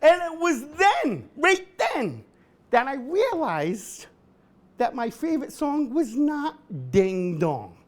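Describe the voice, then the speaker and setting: A middle-aged man doing a stand-up comedy set at an event, with a deadpan delivery that bursts into shouted singing. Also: very high-pitched, slow pace. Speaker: comedian host. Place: event hall with a live audience.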